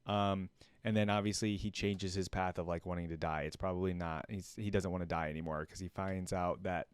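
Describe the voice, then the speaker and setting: A man's voice: deep voice, steady tone, a little fast, clear, resonant tone, dry recording. A man giving casual, informal reaction commentary as a streamer, thinking aloud as he goes. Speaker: streamer reacting to game videos.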